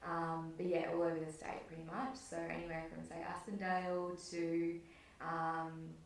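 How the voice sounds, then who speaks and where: A woman's voice: fairly low pitch, monotone, normal speed. A young woman from northern New South Wales, answering interview questions in a friendly, hesitant way with many ums. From northern New South Wales, Australia. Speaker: guest, football program coordinator. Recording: echoey, distant from the mic.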